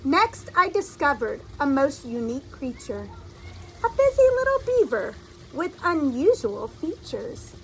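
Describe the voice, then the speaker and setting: A woman reading a children's story aloud in an expressive, deliberate storytelling style, like a teacher addressing young listeners. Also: high voice, slight background noise, rough recording.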